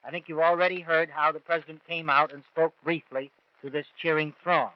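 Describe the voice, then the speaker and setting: A man's voice: fairly high pitch, flat delivery, fairly quick, no echo. An American man reading news items as a 1940s radio reporter. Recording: dull vintage 1940s radio broadcast.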